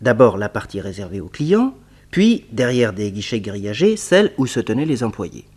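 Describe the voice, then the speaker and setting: An actor playing the narrator of a French radio detective serial, telling it in a dramatic scripted style. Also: male voice, lowish voice, sing-song, normal speed, darkish tone, husky. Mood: explanatory. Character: vivid storytelling male narrator of a detective serial.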